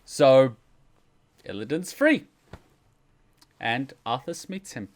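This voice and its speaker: A man's voice: medium pitch, sing-song, slow pace, crowd noise. Chatty man doing casual game commentary. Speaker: streamer explaining game story.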